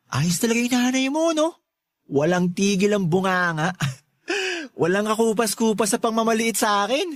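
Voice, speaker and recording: Lasing na asawang tambay, pabago-bago ang tono. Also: male voice, sits high, fairly bright tone, no room sound, studio quality. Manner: rambling, repeating himself, pleading. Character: drunk jobless young husband, pleading, self-pitying, good-hearted. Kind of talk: Tagalog radio drama acting.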